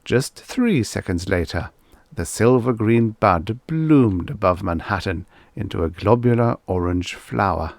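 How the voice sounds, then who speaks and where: Guy reading an audiobook story, lowish voice, lively intonation, measured and expressive, dry sound. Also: gravelly, no room sound. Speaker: audiobook narrator. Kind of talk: scripted story narration.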